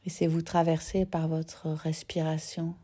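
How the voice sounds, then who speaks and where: A woman teaching yoga nidra, guiding a relaxation gently and unhurriedly in short phrases with soft, breathy endings. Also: sits low, little pitch movement, unhurried. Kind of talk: guided relaxation meditation.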